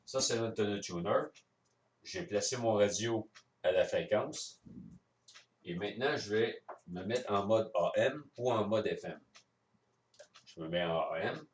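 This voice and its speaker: Low-voiced Quebec man, roomy and distant. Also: unhurried, pauses often, a little hiss or hum. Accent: Quebec French. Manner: explanatory, step by step. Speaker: amateur radio operator. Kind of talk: casual hands-on demonstration. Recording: roomy echo, distant microphone.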